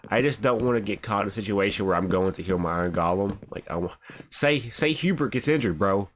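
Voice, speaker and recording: A man's voice: on the low side, animated, fairly quick, a little hiss or hum. A young man with a stuffy, cold-sick voice, rambling casually through gameplay commentary and often saying "bro". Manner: laid-back, rambling, says "bro" often. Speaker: gaming channel host. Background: background music.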